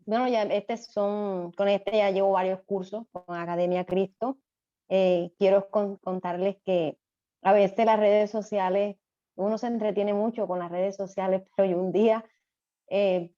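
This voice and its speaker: A woman speaks earnestly to her class over a video call. Her tone is mellow and she pauses with fillers. The audio is slightly dull. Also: medium pitch. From Colombia. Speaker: student. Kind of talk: self-introduction on a video call.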